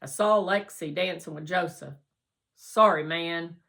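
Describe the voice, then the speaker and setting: A woman's voice: lowish voice, a little slow, vocal fry, a bit bright. A woman voicing a cheerful, confident, chatty schoolboy in a storybook, with emphatic, exuberant delivery. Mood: eager.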